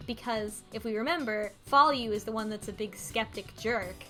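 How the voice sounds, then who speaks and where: A woman co-hosting a talk show, casually retelling a story in a halting, conversational way. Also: on the high side, sharp tone, soft background music. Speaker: podcast co-host. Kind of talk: casual recap discussion of a story.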